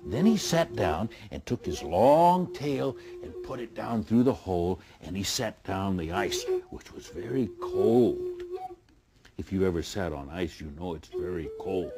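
A middle-aged man telling a folk tale in a folksy, deliberate way with dramatic pauses. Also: low voice, lively intonation, over music. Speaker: storyteller. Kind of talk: oral folk tale storytelling.

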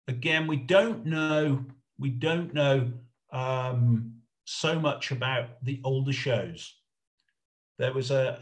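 A middle-aged man giving an informal illustrated history lecture over a video call, explaining in a halting, hesitant way. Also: medium pitch. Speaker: lecturer, researcher presenting a talk.

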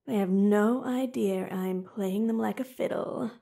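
A woman playing a flustered, husky childhood-friend crush. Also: mid-range voice, unhurried, mellow. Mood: playful teasing. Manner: swings between whispered inner thoughts and playful teasing. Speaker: voice actress. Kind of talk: audio roleplay.

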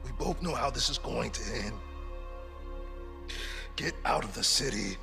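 An actor playing a badly wounded, selfless police officer in a video game, weak, pained and breathless. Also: male voice, medium pitch, lively intonation, a little slow, gravelly, pushed and bright, faint music behind, exaggerated voice. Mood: pained, pleading. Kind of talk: cinematic game dialogue.

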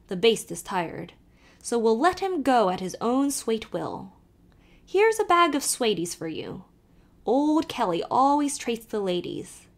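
Storybook part: a woman playing a jolly old Irish peddler man, sly brogue, lively swooping delivery. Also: on the high side, voice pushed up. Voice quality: thick brogue, sly, teasing.

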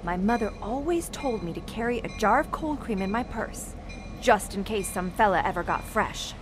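An actress playing a nervous, brassy young bank teller on the run, snappy and slangy in a period radio drama. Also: female voice, medium pitch, expressive, normal speed, music in the background, close to an everyday voice. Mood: sarcastic. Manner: snappy, slangy wisecracking delivery.

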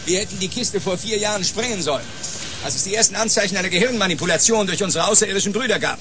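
An actor playing a cynical, combative scientist in a sci-fi radio drama, sarcastic and dismissive. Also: male voice, high voice, very fast, over music, lightly acted. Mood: sarcastic, indignant. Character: cynical, combative male scientist, sneering and callous.

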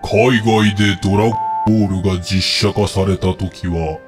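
Pompous wish-granting dragon, played by a male actor, low and unhurried, world-weary, comedic audio drama with music behind. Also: fairly even intonation, close to an everyday voice. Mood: grave, weary. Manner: slow, pompous, formal pronouncements.

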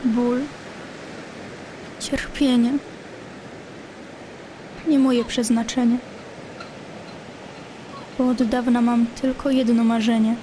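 A woman's voice: a little high, dark tone, a little hiss or hum, poor audio. An actress playing a sorrowful, longing woman in love, reciting poetry mournfully in an audio drama.

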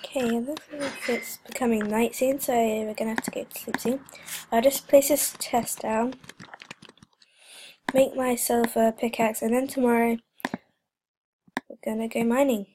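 A woman's voice: a little high, fairly even intonation, moderate pace, long pauses. A teenage girl rambling through casual gameplay commentary, with lots of fillers, frequent drops into whispers, and a slightly stuffy voice from a cold. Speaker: gaming channel host. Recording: noisy home recording with clicks.

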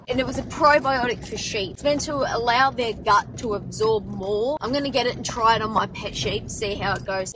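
Young Australian woman, fairly high pitch, casual and wry, swears freely, phone-filmed with clear wind and engine noise behind. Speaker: farm vlogger. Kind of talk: casual vlog chat. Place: car, tractor cab and open paddocks on a windy farm. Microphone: phone camera held at arm's length.

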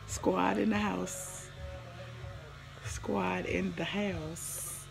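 A hoarse woman in her forties, chatting casually on a live stream from her living room, rambling and greeting viewers by name, with a phone held at arm's length. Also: fairly low pitch, fairly even intonation, very slow, over music. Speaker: livestream host. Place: living room at home, indoors.

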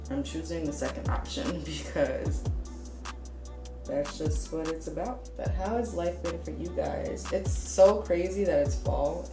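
A woman's voice: sits low, reverberant. A woman in her twenties talking casually to the camera while doing her makeup, a little congested, with plenty of "like" and "you know.". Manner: casual and candid, many "like" and "you know" fillers. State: slightly congested. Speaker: beauty vlogger. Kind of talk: chatty get-ready-with-me vlog. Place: small room with shelves, indoors.

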